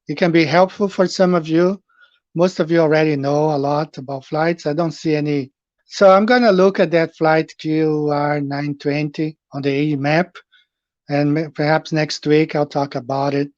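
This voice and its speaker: A man's voice: a little high, no echo, muffled recording. A middle-aged man from Brazil with a Brazilian Portuguese accent, hosting a live stream and chatting with viewers in a rambling way with many fillers. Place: home, in Japan.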